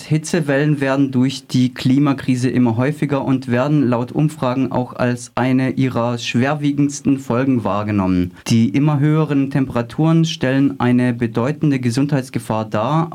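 A man's voice: medium pitch, darkish tone. A man working as a radio interviewer, delivering prepared questions and quotations in a studio interview.

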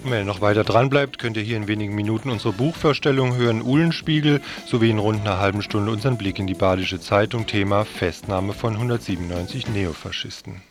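A man's voice: lowish voice, fast talker, hardly any pauses, music in the background. A man hosting a radio show, informally announcing the programme from the studio. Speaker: radio host.

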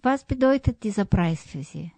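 A woman co-hosting a radio programme, reading a prepared script somewhat haltingly. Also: medium pitch, animated, mellow, breathy edge. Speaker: radio co-host and interviewer. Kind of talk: scripted radio presentation in German.

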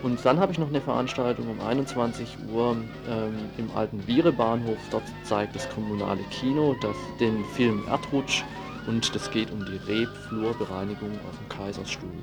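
A man's voice: fairly low pitch, normal speed, music in the background. A man presenting a radio events calendar, reading the listings in a casual, conversational way with the odd filler.

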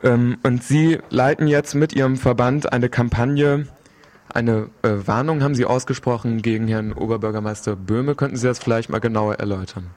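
A man's voice: medium pitch, fairly even intonation, moderate pace, darkish tone. A man working as a radio presenter, reading an introduction and putting questions to a guest. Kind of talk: scripted introduction and phone interview.

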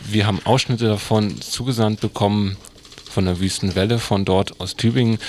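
A man's voice: lowish voice, a little hiss or hum. A man presenting a radio magazine in a loosely scripted style.

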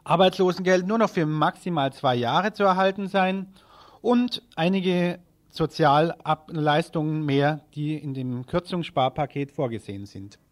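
A man's voice: fairly high pitch, unhurried. A man presenting radio news, reading from a script with the occasional stumble and self-correction.

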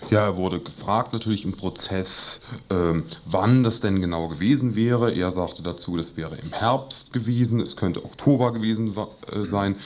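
Low-voiced man reporting on radio. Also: normal speed, few pauses, darkish tone, slight background noise. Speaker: trial reporter, studio guest. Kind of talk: live radio interview, explanatory report.

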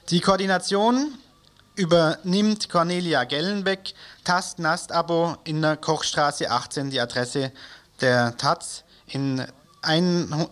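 A man's voice: fairly high pitch, a bit bright. A man presenting community radio news and announcements from a studio, reading from a script with the odd stumble.

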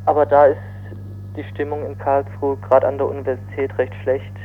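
A dull recording with faint noise and hum carries a man's voice. He comments spontaneously, as if interviewed, in a flat tone. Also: medium pitch, fairly quick.

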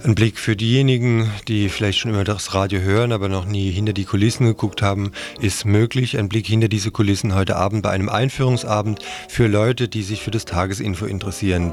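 A man's voice: lowish voice, darkish tone. A man hosting live community radio, reading announcements and addressing listeners informally. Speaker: radio host.

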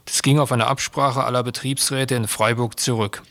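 Male radio news presenter, mid-pitched, scripted narration, very dry recording. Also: steady tone, normal speed, a bit bright, dry recording.

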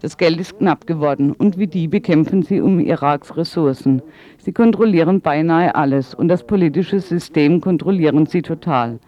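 A man's voice: fairly high pitch, normal speed, highs cut off. A man working as an interpreter, giving a voice-over translation of an interview.

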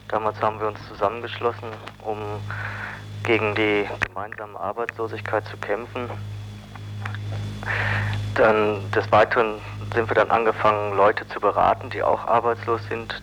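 A man answering interview questions as an activist spokesman, explaining haltingly and repeating words as he goes. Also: lowish voice, fairly bright tone. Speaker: spokesman for an unemployed people's initiative. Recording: dull, faintly hissy old radio interview.

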